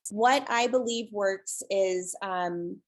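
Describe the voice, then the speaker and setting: Calm, soft-spoken woman presenting on a call. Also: medium pitch, takes it slowly. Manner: relaxed, many ums, soft and calm. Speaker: guest presenter, shelter social media creator. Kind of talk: webinar presentation. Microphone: video call.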